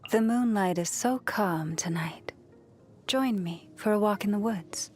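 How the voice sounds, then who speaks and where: Game casting: actress as a kind, serene priestess who guards nature; soft, soothing, reverent delivery at a slow pace, mid-range female voice. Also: medium pitch, slight background noise, natural voice. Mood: calm, reflective. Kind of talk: scripted video game character voice lines.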